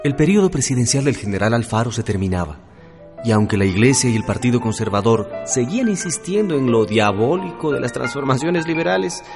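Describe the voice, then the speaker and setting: An actor playing an earnest, idealistic young student in a radio drama, speaking reflectively. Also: male voice, mid-range voice, very animated, brisk. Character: earnest, idealistic young male student recounting revolutionary times. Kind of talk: radio drama narration and dialogue. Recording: loud music under the voice.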